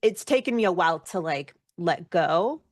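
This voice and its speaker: A woman with a fairly low voice, recorded close and dry, speaks as an interview guest. She is candid and reflective, working out her thoughts aloud and often rephrasing as she goes. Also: animated, normal speed, dry recording. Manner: candid, thinks aloud with many self-corrections. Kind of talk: casual reflective interview answers.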